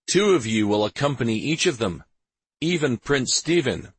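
A man voicing a stern, protective warrior officer, brisk and firm, in an audiobook story. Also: mid-range voice, sing-song, breathy edge, no echo, pitched above the performer's own voice. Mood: brisk, commanding.

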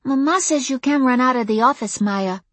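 A woman's voice: normal speed, fairly bright tone, no room sound. A woman voicing a bossy six-year-old big sister in an audiobook, childlike and matter-of-fact, with a tattling manner. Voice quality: high, childlike.

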